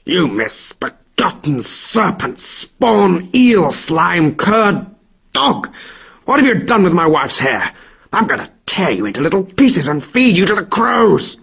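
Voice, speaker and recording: A man's voice: high voice, big pitch swings, takes it slowly, creaky, fairly bright tone, husky, lo-fi sound, pitched above the performer's own voice, full character voice. A man voicing a furious, mighty male thunder god who roars his threats. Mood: enraged. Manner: roaring threats, raised voice.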